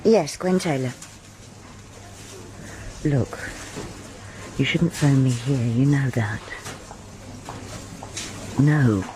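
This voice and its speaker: An actor playing a tense, terse shop worker taking a phone call in a radio drama. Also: female voice, very deep voice, animated, extremely slow, long pauses, dark tone, a little hiss or hum, heavily acted. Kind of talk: BBC radio drama acting.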